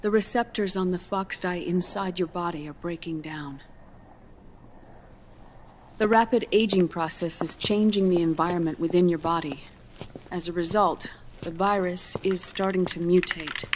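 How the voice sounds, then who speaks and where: A woman playing a grave scientist in a video game, explaining a dire diagnosis in a measured, solemn, clinical manner. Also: fairly low pitch, fairly even intonation, a little hiss or hum, lightly acted. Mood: explanatory, urgent. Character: grave female scientist gently delivering a dire prognosis. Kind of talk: dramatic video game cutscene acting.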